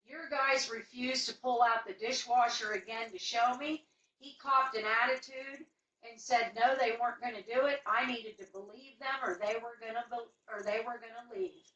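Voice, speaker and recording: A woman's voice: fairly high pitch, pushed and bright, echoey room, recorded from a distance. An indignant, insistent woman recounting a complaint as a customer on a recorded phone call, speaking emphatically. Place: indoors in a room, away from the mic.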